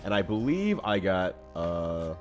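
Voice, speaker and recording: Excitable man with wide-swinging pitch. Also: a little slow, soft background music. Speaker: play-by-play commentator and player. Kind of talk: lively game commentary mixed with casual chat.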